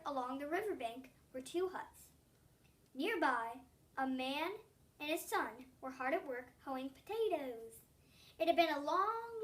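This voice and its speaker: Little girl like 3rd grade reading a story out loud, high voice, expressive, stumbles a bit, mic kinda far. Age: child, about eight or nine (3rd grader). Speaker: young student reading a storybook aloud. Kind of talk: read-aloud story narration.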